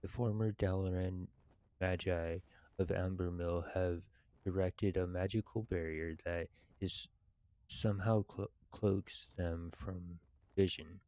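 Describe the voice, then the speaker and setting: A man in his thirties who hosts gaming videos, reading game text and commenting in a measured, halting, casual way. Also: low-pitched, steady tone, warm tone, dry recording. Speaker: gaming video host reading quests aloud. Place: indoors at a computer.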